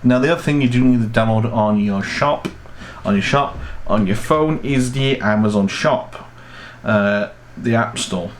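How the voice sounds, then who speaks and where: A man's voice: mid-range voice, measured pace. A man in his twenties giving a casual step-by-step tech tutorial, rambling and often repeating his phrases. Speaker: host of a tech tutorial channel. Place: small room at home, indoors. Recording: noticeable background noise.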